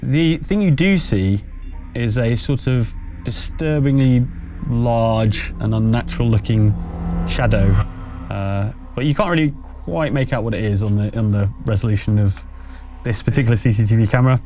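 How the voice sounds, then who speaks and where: A man's voice: mid-range voice, normal speed, warm tone, soft background music. A man running a tabletop roleplaying game, narrating and explaining in a conversational, slightly hesitant way. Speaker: game master.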